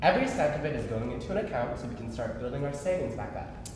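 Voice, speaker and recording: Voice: mid-range voice, expressive, quick pace, crowd noise, close to an everyday voice. A young performer reading the part of a tired, sensible, caring man who teases dryly in a staged play. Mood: firm, playful. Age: young adult. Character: Andrew, tired, sensible, teasing working man, caring partner. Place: staged reading in a large echoey room. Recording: echoey hall, faint noise.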